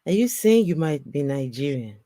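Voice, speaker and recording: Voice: mid-range voice, very animated, moderate pace, dark tone, no room sound, clean studio sound. A livestream co-host talking casually in a teasing, chatty way and directing viewers to links.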